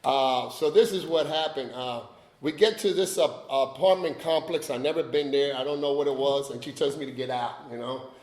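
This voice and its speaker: A man's voice: medium pitch, moderate pace, a little mellow, roomy sound. A man from Cuba, giving a personal recovery talk, chatty and joking with the audience in asides. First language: Spanish. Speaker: AA speaker.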